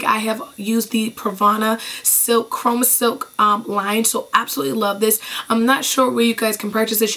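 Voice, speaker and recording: Young woman, hoarse voice, chatty, enthusiastic, beauty vlog, small bedroom, camera mic. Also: fairly high pitch, a bit bright. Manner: chatty, enthusiastic, repeats praise. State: hoarse, losing her voice after being sick. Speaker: beauty vlogger. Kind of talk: casual product review. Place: small bedroom with clothes racks behind.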